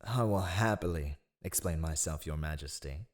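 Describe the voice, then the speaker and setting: A man's voice: extremely low pitch, normal speed. A man acting a cunning, ruthless royal spymaster in a fantasy audio roleplay, with a sly, mocking, theatrically deferential manner. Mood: sly, persuasive.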